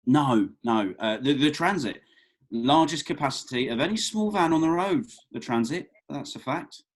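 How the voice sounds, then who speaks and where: A man's voice: a little high, animated, moderate pace. A man playing an awkward, eager young man in a script read, hesitant and conversational. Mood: defensive, boastful.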